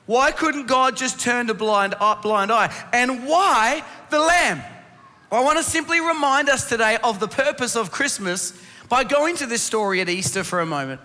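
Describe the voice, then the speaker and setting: High-pitched male preacher, strained voice, big hall. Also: lively intonation, moderate pace, bright, forceful tone. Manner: rhetorical questions, instructive, addressing the congregation directly. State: voice strained from laryngitis. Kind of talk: Christmas sermon to a congregation. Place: church hall. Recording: reverberant hall sound.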